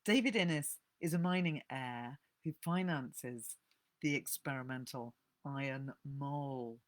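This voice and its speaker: A woman's voice: extremely low pitch, slow. A woman giving an informal talk about books to an audience, chatty, with frequent ums and small self-corrections.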